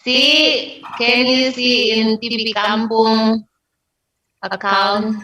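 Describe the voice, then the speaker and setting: A woman with an Indonesian accent casually coordinating technical setup, haltingly searching for words. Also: on the high side, fairly even intonation, pushed and bright, recorded from a distance, rough recording. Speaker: event organiser.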